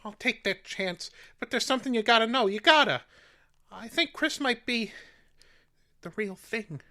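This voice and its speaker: A man's voice: very high voice, lively intonation, moderate pace, sharp tone, full character voice. An actor playing an anxious, simple-hearted handyman, folksy and repeating his phrase endings in radio drama style. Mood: earnest, awed. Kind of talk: radio drama character acting.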